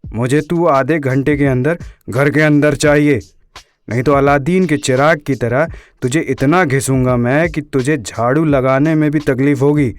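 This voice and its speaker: A man's voice: mid-range voice, fairly even intonation, unhurried, close to an everyday voice. Man acting a sarcastic, exasperated scolding father.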